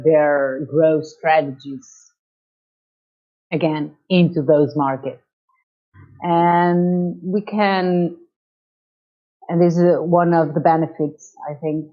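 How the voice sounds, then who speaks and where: A woman from Portugal speaking English with a Portuguese accent, slowly searching for words as she explains her chamber of commerce in an interview over a video call. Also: low voice. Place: in Lisbon. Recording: dull lo-fi call audio.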